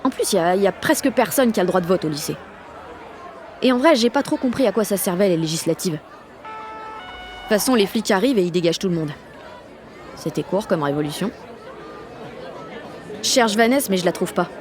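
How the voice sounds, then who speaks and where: A sullen French girl of sixteen, played by a woman, narrates an audio drama about her own life. Her delivery is blunt, slangy and sardonic, with frequent swearing, at a medium pitch. Outdoor ambience and traffic sit behind the voice. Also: animated, brisk, long pauses, darkish tone, some chatter behind, close to an everyday voice. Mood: detached, sardonic. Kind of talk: first-person audio fiction narration in teen slang.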